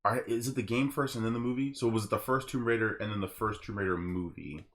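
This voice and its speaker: A young adult man hosting a casual podcast discussion, telling stories in an animated manner. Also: fairly low pitch, fast talker. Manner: animated storytelling with acted quotes. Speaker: podcast host.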